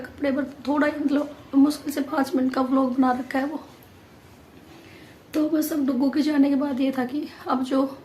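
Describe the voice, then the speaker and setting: A woman vlogger chatting casually to viewers, her voice hoarse and nearly gone, pushing hard to get the words out. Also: sits high, a little mellow, slight background noise. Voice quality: strained, forced voice.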